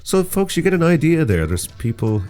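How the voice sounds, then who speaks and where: A man's voice: on the high side, big pitch swings, moderate pace, a little mellow, faint music behind. A man co-hosting a casual podcast, joking and rambling as he explains island slang. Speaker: podcast co-host. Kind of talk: casual chat.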